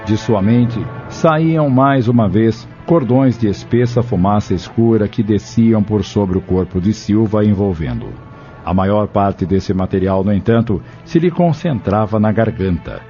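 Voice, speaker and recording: A man's voice: lowish voice, measured pace, mellow, faint music behind. A man narrating an audio novel from a script. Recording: dull lo-fi narration recording.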